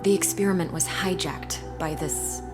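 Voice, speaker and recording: Game lead, female actor: determined scientist, lowish voice, earnest and analytical, loud music underneath. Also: steady tone, normal speed, close to an everyday voice. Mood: grave explaining. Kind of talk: video game cutscene acting.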